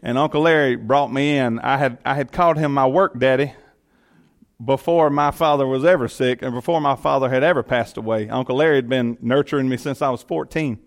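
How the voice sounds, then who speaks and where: A man's voice: medium pitch, fairly quick. Earnest Georgia man telling his story. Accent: Southern US, Georgia. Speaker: county commission chairman. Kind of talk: personal testimony to an audience.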